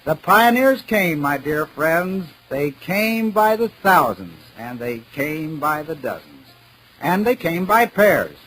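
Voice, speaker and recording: A man's voice: a little high, sing-song, takes it slowly, fairly bright tone, slightly rough, full character voice, poor audio. A man playing a pompous, mock-serious radio announcer giving an oratorical comedy speech in 1940s style on an old 78rpm record. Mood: mock-solemn.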